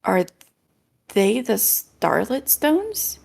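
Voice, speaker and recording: A woman's voice: on the high side, big pitch swings, extremely slow, gravelly, husky. A woman streaming a video game, chatting casually and reading story dialogue aloud, with game music behind her.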